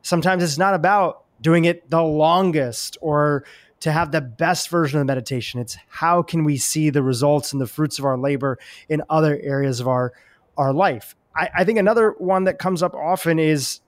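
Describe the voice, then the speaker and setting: A man's voice: fairly high pitch, moderate pace. A man hosting a podcast, asking thoughtful, probing questions in a casual conversation.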